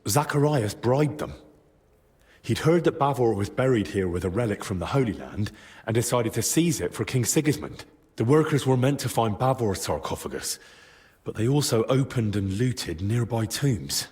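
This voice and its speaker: A man playing an earnest, determined young man in a medieval video game drama, speaking in a measured, respectful way. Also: mid-range voice, sing-song, darkish tone, close to an everyday voice. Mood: grave, explaining.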